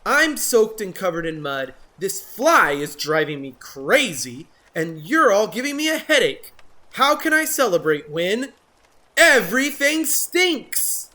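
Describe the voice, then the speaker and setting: A woman's voice: mid-range voice, very animated, unhurried, bright, forceful tone, voice pushed up. A woman voicing a grumpy, sulky male monkey in a children's storybook, grumbling in a whiny, emphatic way. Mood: exasperated.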